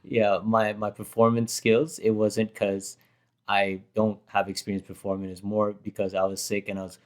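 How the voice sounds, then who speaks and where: Brief: hoarse, slightly low-voiced man, casual rambling storyteller who keeps correcting himself. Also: little pitch movement, normal speed. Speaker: rapper reacting to his own performance. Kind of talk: casual chat.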